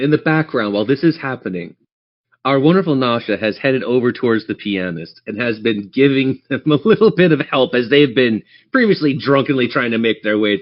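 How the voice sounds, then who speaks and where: A man's voice: medium pitch, lo-fi sound. A man narrating a tabletop fantasy game as game master, theatrical yet conversational.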